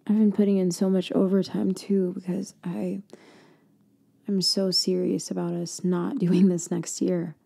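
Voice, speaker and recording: A woman doing a girlfriend roleplay for ASMR, speaking softly and intimately, slipping in and out of a whisper and often trailing off mid-thought. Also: little pitch movement, a little slow, vocal fry, slightly rough. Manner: soft, intimate, drifting between low voice and whisper; hesitant, trailing off and restarting.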